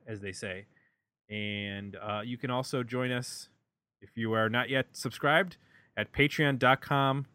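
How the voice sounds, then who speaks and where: A man's voice: medium pitch, sharp tone, dry recording. A man hosting a podcast, talking informally as he discusses records and addresses listeners. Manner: informal, conversational. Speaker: podcast host. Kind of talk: casual album discussion and show sign-off.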